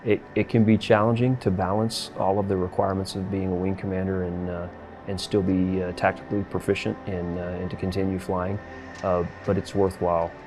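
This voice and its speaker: A middle-aged man, a military commander, speaking earnestly and haltingly in a documentary interview. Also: sits low, little pitch movement, over music. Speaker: air force wing commander and fighter pilot.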